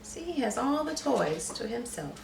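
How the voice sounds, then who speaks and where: High, expressive woman reading to children. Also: moderate pace, vocal fry, slight background noise. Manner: expressive, questioning, teacherly. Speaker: early childhood read-aloud teacher. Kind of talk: children's storybook read-aloud with explanations. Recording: roomy, echoey, slightly distant microphone.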